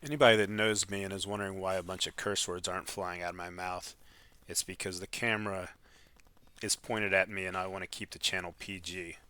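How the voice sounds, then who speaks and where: A man's voice: low voice, little pitch movement, brisk, bright, forceful tone, a little hiss or hum. A man in his fifties hosting a casual DIY vlog, talking informally and thinking aloud as he works. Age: 50s. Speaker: DIY host.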